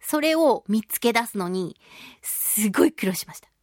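A woman's voice: fairly high pitch, lively intonation, moderate pace, darkish tone, dry recording. A woman hosting a chatty radio talk show, speaking in a playful, theatrical way with mock-polite phrasing, close to a studio microphone. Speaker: voice actress. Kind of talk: chatty radio talk, reading listener mail.

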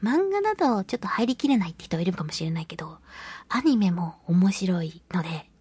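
A woman's voice: on the low side, very animated, brisk, a little mellow, breathy edge, dry recording. A woman hosting a casual solo radio show, chatting expressively and giggly as she reads and responds to listener mail. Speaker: radio show host, voice actress.

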